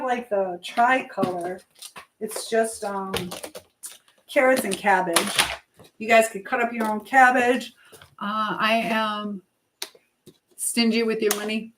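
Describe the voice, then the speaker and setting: A woman hosting a casual live stream while she cooks, chatting informally to viewers and reading out their comments. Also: a little high. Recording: clear background noise and clicks.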